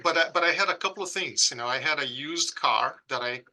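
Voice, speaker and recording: A man's voice: on the high side, fairly quick, sharp tone, low-quality recording. A man in his forties from Brazil with a Brazilian Portuguese accent, speaking reflectively as an interview guest with frequent fillers and restarts. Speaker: guest, pediatric critical care physician. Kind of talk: casual interview conversation.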